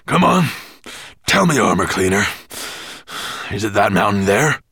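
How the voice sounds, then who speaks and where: A huge golden dragon out of a storybook, acted out by a man, growling and grunting his scornful orders. He is vain, imperious and impatient, and his gravelly delivery swings widely in pitch. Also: huge pitch swings, unhurried, no room sound, clean studio sound, full character voice.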